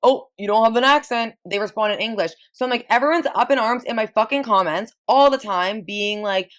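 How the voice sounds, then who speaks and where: A woman's voice: fairly high pitch, fairly quick, a bit bright. A woman in her twenties from Ontario, a podcast guest chatting casually, frank and profane, often restarting her sentences. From Ontario, Canada. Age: 20s. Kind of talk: casual chat.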